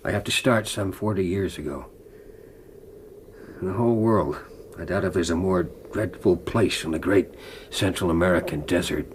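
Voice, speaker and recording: An actor playing a gravely ill, defiant older man telling his life story in a grave, deliberate, dramatic way for radio drama. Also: deep voice, slight background noise, lightly acted. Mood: grave, intense.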